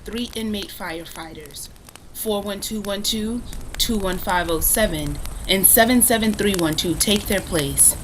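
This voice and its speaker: A woman's voice: medium pitch, a little slow, background noise. A woman reading a play's stage directions as scripted narration over a video call. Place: online rehearsal on a video call.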